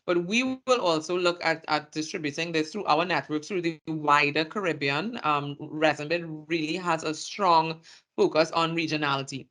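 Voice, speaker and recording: A speaker from Trinidad and Tobago with a Trinidadian accent, giving a workshop presentation in short, halting phrases. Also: mid-range voice, steady tone, fairly bright tone. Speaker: communications presenter.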